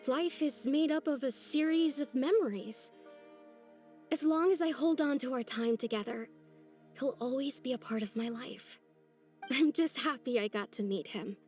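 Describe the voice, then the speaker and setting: A woman playing a wistful, sincere young village girl in a video game, with soft music behind her. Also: high voice, moderate pace, close to an everyday voice. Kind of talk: video game dialogue acting.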